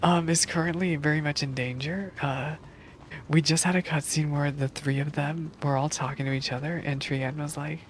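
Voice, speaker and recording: A woman's voice: sits low, fairly even intonation, normal speed, a little hiss or hum. A woman giving casual, informal live stream commentary on a game, thinking aloud as she goes. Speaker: game streamer reacting to story. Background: game music.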